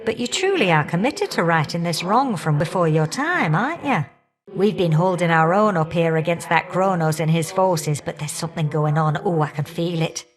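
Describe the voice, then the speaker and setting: An actress voicing a warm, feisty old great-aunt fire goddess for a video game, with folksy, teasing, old-fashioned talk. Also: female voice, on the low side, animated, normal speed, natural voice. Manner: folksy, teasing, old-fashioned turns of phrase, calling listeners dearie. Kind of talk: video game character acting.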